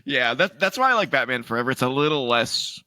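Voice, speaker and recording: A man co-hosting a casual gaming podcast, laughing often and reading out news items in a relaxed drawl. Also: medium pitch, quick pace, smooth, dry recording, studio quality. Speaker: podcast co-host.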